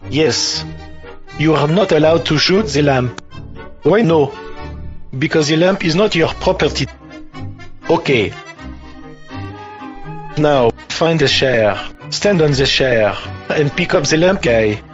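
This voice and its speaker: Bumbling police puppet, highish voice, stilted and literal, muffled lo-fi audio. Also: male voice, expressive, takes it slowly, long pauses, faint music behind. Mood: bossy, slow-witted. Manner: stilted, officious, literal-minded. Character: bumbling, pompous French police officer puppet. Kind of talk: comic puppet show acting.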